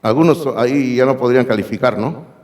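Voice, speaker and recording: Hoarse male pastor, emphatic sermon delivery to the congregation, reverberant church hall. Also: medium pitch, fairly quick, a little mellow. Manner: emphatic, addresses the congregation directly. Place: church sanctuary.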